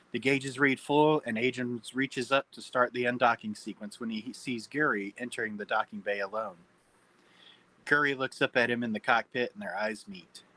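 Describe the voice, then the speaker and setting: Bright-voiced man narrating stage directions. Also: medium pitch, rough recording. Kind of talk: reading screenplay stage directions at table read.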